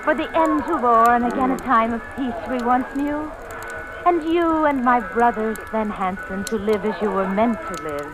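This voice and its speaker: A woman's voice: a little high, lightly acted. An actress playing a solemn, devoted Scandinavian village woman in a formal, emphatic 1950s radio drama style. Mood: solemn, fervent. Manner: slow, formal, emphatic delivery. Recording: noisy old radio broadcast recording.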